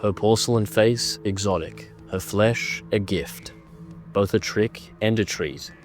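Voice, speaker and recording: A man narrating a scripted horror story in a measured, dramatic manner. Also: on the low side, faint music behind.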